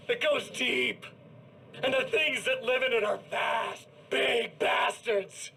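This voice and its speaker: A man's voice: very high voice, vocal fry, bright, forceful tone, slight background noise, heavily acted. An actor playing a gleeful, menacing evil doppelganger in a video game cutscene, taunting and drawing out his words in mockery. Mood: gloating. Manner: taunting, drawn-out and mocking.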